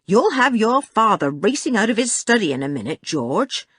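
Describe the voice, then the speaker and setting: Storybook cook, played by a woman: kindly, homely, fussing, lowish husky voice, animated chat. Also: a little slow, dry recording, clean studio sound, exaggerated voice. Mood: scolding. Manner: homely, chatty, gently scolding. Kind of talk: children's audiobook character voice.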